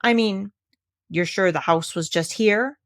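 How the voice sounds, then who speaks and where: A woman voicing a friendly, slow-witted male toy bear in a children's storybook, eager and simple in manner. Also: mid-range voice, natural voice. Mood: puzzled, hesitant. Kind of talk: children's storybook character reading.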